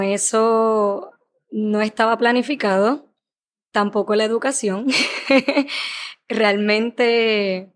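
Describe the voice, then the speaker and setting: A woman from Puerto Rico with a Puerto Rican accent, a clinical psychologist telling her story as a podcast guest in a measured, thoughtful way. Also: on the high side. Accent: Puerto Rican Spanish. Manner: measured, thoughtful, with small fillers. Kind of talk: podcast interview, personal storytelling.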